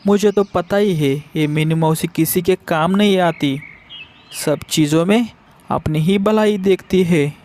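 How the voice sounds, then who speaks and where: A man's voice: high voice, unhurried, a little mellow, a little hiss or hum. A man voicing a hapless male crow who grumbles aloud to himself in a children's cartoon. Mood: resentful. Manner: exclaiming, complaining aloud to himself. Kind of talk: Hindi children's cartoon voice acting.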